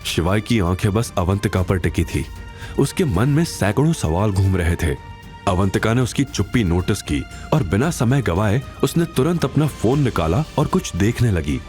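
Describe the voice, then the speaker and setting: A man narrating an audio drama in a scripted, dramatic style with expressive, suspenseful delivery. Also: on the low side.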